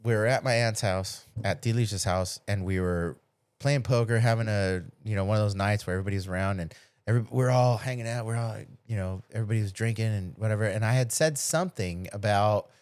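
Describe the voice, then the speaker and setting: A man's voice: lowish voice, brisk, a little mellow, clear, resonant tone, no room sound. A middle-aged man in a casual podcast chat, telling personal stories with acted-out quotes and loose, salty language. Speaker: podcast host.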